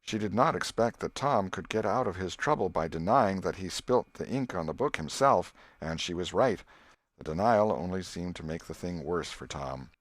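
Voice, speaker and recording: A man reading an audiobook as an expressive scripted storyteller. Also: low-pitched, moderate pace, no room sound. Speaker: audiobook narrator.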